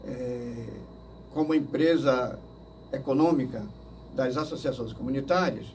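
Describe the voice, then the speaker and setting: An older man from Manaus, answering interview questions slowly and hesitantly, with many fillers and restarts. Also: mid-range voice, darkish tone. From Manaus, Brazil. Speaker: interview guest. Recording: distant mic with faint noise.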